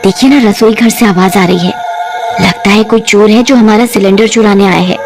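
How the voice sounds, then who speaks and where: A woman's voice: mid-range voice, normal speed, over music, close to an everyday voice. A woman voicing a questioning, easily alarmed village housewife in a cartoon ghost story. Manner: exclaiming, questioning.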